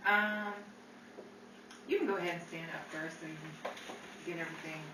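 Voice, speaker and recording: A woman's voice: on the low side, fairly even intonation, normal speed. A woman who works as announcer and director for a radio drama production. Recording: echoey, distant, noisy room with people.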